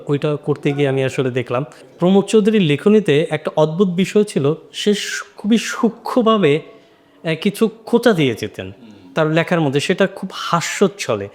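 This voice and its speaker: A man with a fairly high voice talks through a literary point as a panelist. He explains step by step, often quoting lines to illustrate what he means. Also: normal speed, a little mellow. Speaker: discussion panelist and audio drama maker. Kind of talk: literary discussion.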